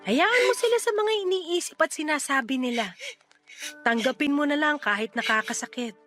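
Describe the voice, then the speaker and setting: A woman playing a feisty, joking, devoted single mother of about forty in a Filipino radio drama, with a breezy, teasing, expressive delivery and a Filipino accent. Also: high-pitched, moderate pace, soft background music. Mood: comforting.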